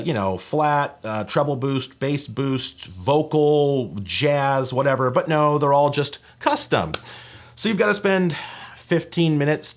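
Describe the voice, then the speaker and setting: A man presenting a scripted tech review with casual asides, in a wry, emphatic, conversational way. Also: medium pitch.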